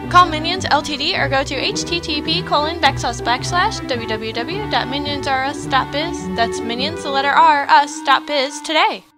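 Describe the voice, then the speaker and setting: A woman's voice: high voice, moderate pace, hardly any pauses, close to an everyday voice. A woman playing a polished, salesy announcer in a parody commercial. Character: chirpy advertising announcer for evil minion agency. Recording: loud music behind the voice.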